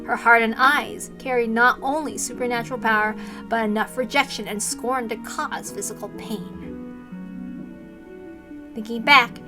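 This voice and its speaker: A woman reading a visual novel aloud as dramatic scripted narration. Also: fairly high pitch.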